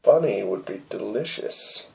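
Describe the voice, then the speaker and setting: A man hosting storytime, reading a fairy tale aloud with playful, deadpan asides. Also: lowish voice, unhurried, vocal fry, a little hiss or hum, poor audio. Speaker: host and storyteller.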